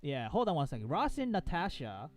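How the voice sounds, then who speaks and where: A man's voice: fairly high pitch, very animated, brisk, soft background music. A man co-hosting a game playthrough, chatting and reacting in a slangy, casual way.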